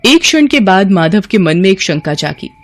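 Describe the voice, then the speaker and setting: A man's voice: sits high, very animated, normal speed, a bit bright. A man narrating a scripted story as a storyteller.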